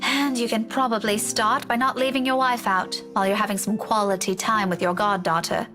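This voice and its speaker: An actress playing a blunt, needling schoolteacher with grudging kindness in a visual novel. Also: female voice, mid-range voice, fairly even intonation, a little fast, music in the background, close to an everyday voice. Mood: frank, advising. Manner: frank, teasing, lecturing. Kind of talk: dramatic visual novel voice acting.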